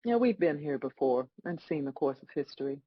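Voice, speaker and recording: A woman playing God as a stern, composed judge, delivering measured, scolding lines in a dramatic script read. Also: low-pitched, expressive, husky, no room sound, muffled recording. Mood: scornful.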